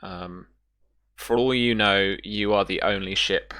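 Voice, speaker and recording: A game master improvising descriptive narration for a tabletop role-playing game in a halting way. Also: deep voice, fairly even intonation, a bit bright.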